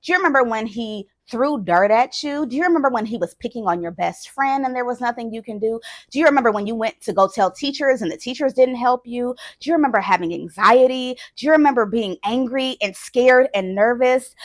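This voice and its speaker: A woman's voice: fairly high pitch, a little fast, hardly any pauses, dry recording, natural voice. Woman acting out a relentlessly needling party guest. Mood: insistent, needling. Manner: insistent, repetitive questioning. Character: relentless party guest harping on painful memories.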